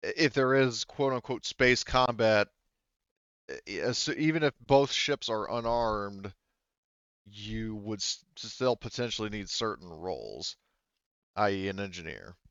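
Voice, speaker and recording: Low, smooth-voiced man as game master. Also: lively intonation, unhurried, fairly bright tone, no echo, dull audio. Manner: hesitant, explanatory, thinking aloud. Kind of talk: narrating a tabletop roleplaying game.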